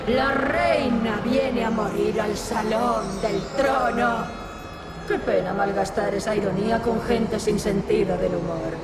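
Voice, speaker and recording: Casting: sinister game seductress, unhurried mocking delivery, silky menace, reverberant sound. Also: female voice, fairly high pitch, very noisy. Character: seductive, taunting villainess, a sinister concubine sorceress. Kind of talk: video game dubbing in Spanish. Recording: echoing voice under loud game music.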